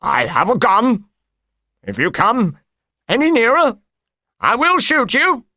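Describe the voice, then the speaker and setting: A man's voice: medium pitch, very animated, a little slow, a bit bright, no echo, studio quality. Guy reading as a scared fat man in an audiobook, agitated and pleading, voice jumping around. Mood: frightened, threatening. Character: very fat, frightened, evasive man. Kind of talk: audiobook character voice.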